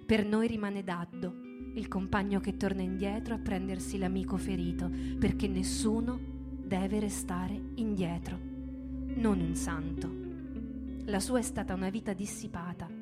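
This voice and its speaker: A woman giving a theatrical literary reading, solemn and deliberate, in short measured fragments. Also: medium pitch, fairly even intonation. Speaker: stage performer and narrator. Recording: music bed under the voice. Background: guitar music.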